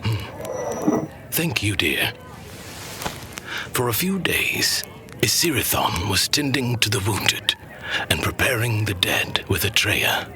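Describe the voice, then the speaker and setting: An actor playing a solemn storyteller who recounts an ancient fantasy legend in a breathy, hushed voice. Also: male voice, on the low side, very animated, takes it slowly, long pauses, exaggerated voice. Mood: gentle, grave. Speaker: narrator.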